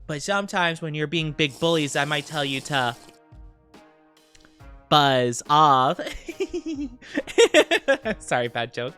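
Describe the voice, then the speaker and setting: Woman, streamer, playful teasing chat, lower-pitched voice, smooth clear tone, faint music behind. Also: big pitch swings, takes it slowly, pauses often, a bit bright. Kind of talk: casual livestream chat with viewers. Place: air-conditioned home streaming room.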